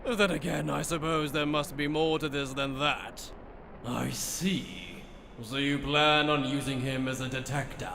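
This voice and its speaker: A man's voice: fairly high pitch, steady tone, measured pace. A man acting a sadistic, gloating aristocrat villain in an anime fan-dub, with a theatrical, mocking, cackling delivery. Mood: smug musing. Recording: clearly noisy background.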